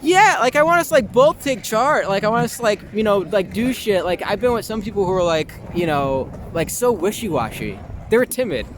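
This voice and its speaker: A young American man talking casually and candidly as an interview guest, halting and informal, leaning on "like" and the odd swear word. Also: high voice, lively intonation, background noise. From Long Island, New York, US. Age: young adult.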